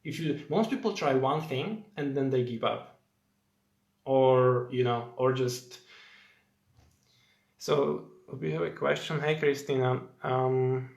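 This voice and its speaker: A man's voice: mid-range voice, slow pace, vocal fry, long pauses, darkish tone, reverberant. A young man from Slovakia with a Slovak accent, hosting a casual live Q&A in English, chatty and rambling with many fillers as he greets viewers by name. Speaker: host of a live stream.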